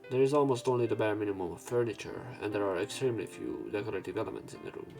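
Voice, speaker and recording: A man's voice: on the low side, lively intonation, racing, vocal fry. A man reading visual novel text aloud as a let's play narrator, with casual asides. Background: visual novel game music and sound effects.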